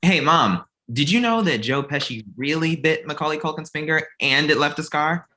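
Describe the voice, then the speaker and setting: A man's voice: a little high, moderate pace, sharp tone. A man playing a naive, earnest, slightly whiny son in his thirties who adores Christmas, in a comedy table read. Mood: hurt, naive. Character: naive thirty-six-year-old son, childishly devoted to Christmas and Santa.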